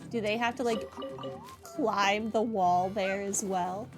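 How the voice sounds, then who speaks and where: A woman's voice: medium pitch, steady tone, takes it slowly, music in the background. A woman giving casual playthrough commentary, musing to herself in an informal way. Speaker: gaming playthrough host.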